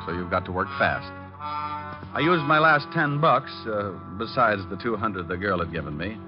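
Casting: male actor as smooth hard-boiled detective, low register, wry and unflappable, vintage radio drama with music. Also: highs cut off, close to an everyday voice. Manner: wry, quick-witted, unflappable. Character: suave, wisecracking male private detective.

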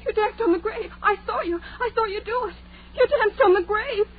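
A woman's voice: extremely high pitch, brisk, narrow, tinny audio. An actress playing a superstitious, frightened young woman in 1930s radio horror style, melodramatic and pleading, on a scratchy old recording. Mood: distraught. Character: hysterical, superstitious young woman terrified of an evil spirit.

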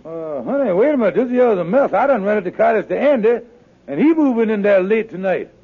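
A man's voice: sits high, very animated, a little fast, slightly rough, exaggerated voice. A man playing a blustering, slippery con man in a 1950s radio sitcom, folksy in dialect and full of hemming salesman's patter. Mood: agitated. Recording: narrow, noisy vintage radio recording with clicks.

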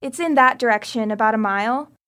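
An earnest teenage girl pleads in a higher voice, as a woman plays her. She comes across as a desperate survivor in an audio drama. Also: brisk, pushed and bright. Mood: pleading.

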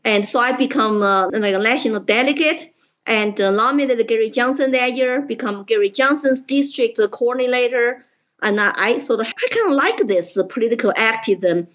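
A woman with a Chinese accent tells her story in a slightly high voice, earnest and forceful in her emphasis. The audio is dull and lo-fi, as if muffled. She speaks in plain, direct sentences. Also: animated, moderate pace. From China. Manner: earnest, emphatic, simple grammar. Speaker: political candidate, guest. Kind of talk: interview storytelling.

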